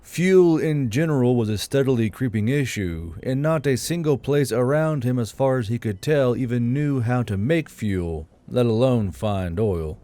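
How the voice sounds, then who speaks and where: A man narrates a story in a mellow, relaxed voice of middling pitch. His delivery is measured, like scripted audiobook fiction.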